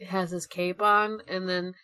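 A woman's voice: on the low side, level tone, measured pace, sharp tone, no echo. A woman co-hosting a casual, joking discussion in an informal, amused and giggly way. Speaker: podcast co-host. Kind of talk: casual chat, joking commentary.

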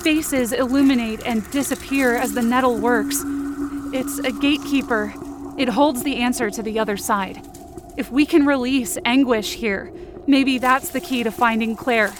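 Woman acting high-voiced, frightened, determined young heroine. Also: moderate pace, noisy background, close to an everyday voice. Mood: fearful, tense. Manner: tense, breathless, intense present-tense storytelling. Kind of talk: dramatic first-person scripted narration, audio fiction. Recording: music bed under the voice. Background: dramatic music.

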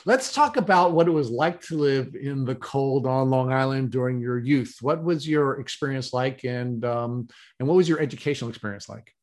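New york guy hosting a podcast, calm even voice, asks long careful questions. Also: mid-range voice. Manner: measured, summarizing questions. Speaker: podcast co-host. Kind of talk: interview hosting.

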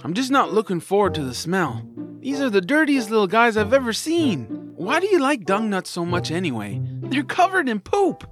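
A man's voice: high voice, big pitch swings, normal speed, music in the background. A man voicing a squeamish, reluctant but good-hearted young boy in a family audio drama, complaining and incredulous.

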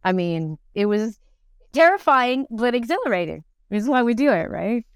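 A woman aged fifty talking as an actor being interviewed, telling things in an animated, emphatic conversational way. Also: fairly high pitch, moderate pace, clear, resonant tone, no room sound, studio quality. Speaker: interview guest, stage actor in musicals and plays.

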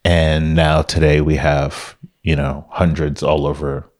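A man with an extremely low, deep voice talks about his company as an interview guest. He is from Miami, Florida, and tells his story at an easy pace, often restarting his sentences and filling pauses. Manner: relaxed storytelling with many restarts and fillers. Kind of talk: casual interview talk.

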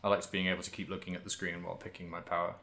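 A man's voice: low-pitched, little pitch movement, rapid-fire, bright, forceful tone, a little hiss or hum. A man co-hosting a podcast, chatting casually about video games in a rambling, hesitant way and often correcting himself. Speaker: podcast co-host.

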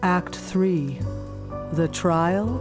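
A grieving woman, a self-doubting daughter haunted by guilt. She speaks slowly and confessionally, as in an intimate audio drama monologue, with prominent music behind her. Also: fairly low pitch. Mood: reflective, regretful. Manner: confessional, hesitant. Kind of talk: first-person audio drama narration. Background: original music.

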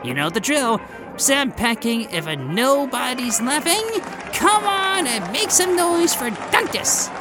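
A woman's voice: high voice, very animated, slow pace, loud music behind the voice, heavily acted. A woman voicing a brash, foul-mouthed metal armadillo who announces acts as a club emcee in a comedic audio story. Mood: brash showmanship. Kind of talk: comedic audio drama acting.

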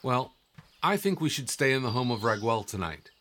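An actor playing a calm, wise and assured guide in an audio drama, instructive and encouraging in manner. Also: male voice, medium pitch, moderate pace. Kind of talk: scripted audio drama acting.

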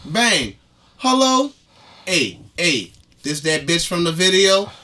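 A man's voice: sits high, very animated, takes it slowly, bright, forceful tone. A man co-hosting a podcast, telling a story in casual, profane slang and acting out the dialogue. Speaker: podcast co-host.

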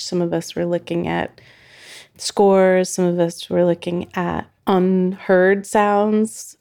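A woman's voice: on the low side, creaky, a little mellow. A woman sound artist talking reflectively in an interview, thoughtful and loosely phrased with frequent "like"s.

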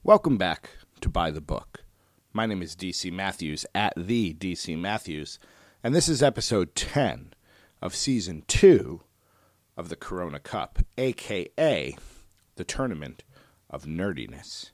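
Male solo podcast host, low soothing voice, easygoing and chatty, dry booth sound. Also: a little slow, no room sound. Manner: relaxed, digressive, frequent "uh" and "um". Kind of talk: casual solo podcast talk.

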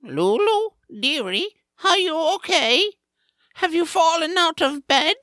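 A woman's voice: extremely high pitch, animated, takes it slowly, a bit bright, dry recording, clean studio sound, heavily acted. A woman voicing a doting, fussy old grandmother who coddles tenderly in a family fantasy audio drama. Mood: concerned, doting.

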